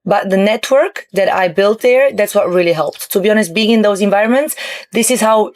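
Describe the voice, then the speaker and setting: A woman's voice: medium pitch, a little fast. Young woman talking with lots of ums. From Romania. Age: 20s. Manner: hesitant, many ums and uhs. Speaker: entrepreneur guest. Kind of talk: casual interview. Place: on board a yacht.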